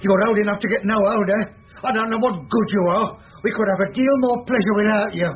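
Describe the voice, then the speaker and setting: Man playing a high-voiced, bitter, scornful son. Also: steady tone, a little fast, lightly acted. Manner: scornful, theatrical. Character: bitter, quarrelsome, heartless son. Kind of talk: classic radio drama acting. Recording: dull lo-fi radio sound, faint music.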